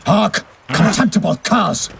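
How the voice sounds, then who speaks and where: An actor playing a noble, idealistic knight-errant in a fantasy game, speaking in a formal, florid, pompous manner. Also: male voice, high-pitched, expressive, a little slow, creaky, a bit bright, a little hiss or hum, full character voice. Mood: declaiming, indignant. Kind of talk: fantasy video game acting.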